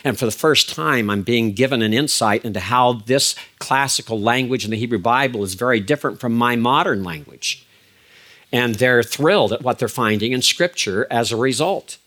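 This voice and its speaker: Older man lecturing with measured emphasis. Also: mid-range voice, a bit bright. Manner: measured, emphatic, with frequent fillers and restarts. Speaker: biblical Hebrew teacher. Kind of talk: recorded lecture.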